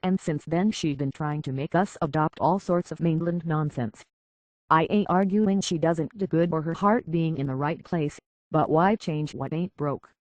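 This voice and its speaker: A man's voice: fairly high pitch, normal speed, no echo, lightly acted, rough recording. A man acting a blunt, folksy island barkeep. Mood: opinionated. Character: plain-spoken local island barkeep, worried about his kin. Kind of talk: video game character dialogue.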